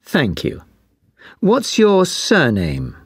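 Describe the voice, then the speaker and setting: A woman playing an examiner in a speaking test, asking each question slowly and clearly. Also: on the low side, wildly swooping pitch, no room sound, studio quality. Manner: slow, clearly spaced questions. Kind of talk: scripted exam interview.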